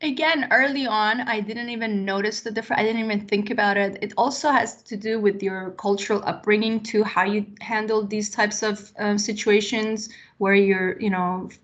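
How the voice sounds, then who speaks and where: A woman in her late forties, born in Iran, speaks as an interview guest at a middle pitch. She talks reflectively and casually, pausing often with "you know" and "um" while she works through personal experience. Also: steady tone. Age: 40s. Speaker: interview guest, tech executive.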